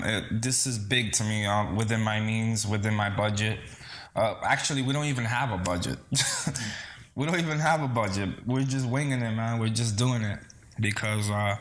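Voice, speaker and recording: A man's voice: lowish voice, fairly bright tone. A man from the Bronx, talking casually and earnestly in an interview, hesitating and repeating words. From Bronx, New York City, early childhood in the Dominican Republic. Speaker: interview guest, singer-songwriter.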